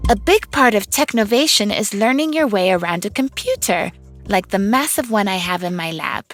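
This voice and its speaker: A woman's voice: mid-range voice, lively intonation, normal speed, natural voice. A woman voicing an upbeat, confident young girl inventor, telling a children's read-along cartoon story in an enthusiastic, encouraging way. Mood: cheerful, proud. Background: music under the voice.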